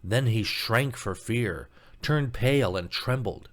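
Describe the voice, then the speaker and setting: A man's voice: medium pitch, expressive, takes it slowly, a bit bright. A man reading a scripted fairy tale as a storybook narrator, telling it expressively.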